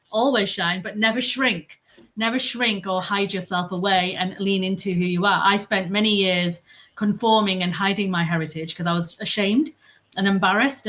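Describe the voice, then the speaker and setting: A woman's voice: medium pitch, fairly bright tone. A woman moderating a panel discussion. She speaks in an earnest, encouraging way, with a mid-range voice.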